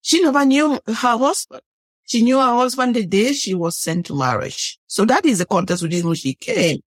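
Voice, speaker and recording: A woman's voice: fairly high pitch, expressive, normal speed, no room sound. Middle-aged Cameroonian woman, reflective storyteller. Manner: earnest, reflective, often rephrases mid-sentence. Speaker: guest, biblical studies professor. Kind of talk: personal storytelling in an interview. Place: podcast studio table.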